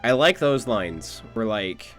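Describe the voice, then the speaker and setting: Male game streamer. Casual, musing commentary, lowish voice, light background music. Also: very animated, slow pace, a bit bright. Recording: music behind the voice.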